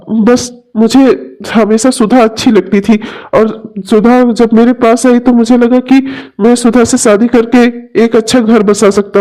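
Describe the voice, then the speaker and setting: A selfish young husband who wants out of his marriage, voiced by a man, explaining himself in a flat, dismissive tone. The voice sits very high but sounds mellow and dark, in a homemade audio drama. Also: low-quality recording. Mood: self-justifying. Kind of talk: amateur audio drama acting.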